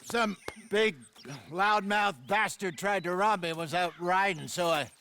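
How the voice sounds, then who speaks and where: A man's voice: sits high, unhurried, pushed and bright, a little hiss or hum, full character voice. A man playing a jovial, confident middle-aged Old West outlaw in a video game, folksy and teasing, with an American accent. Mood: easygoing. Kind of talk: naturalistic video game cutscene acting. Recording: clear outdoor game sound effects, horse hooves.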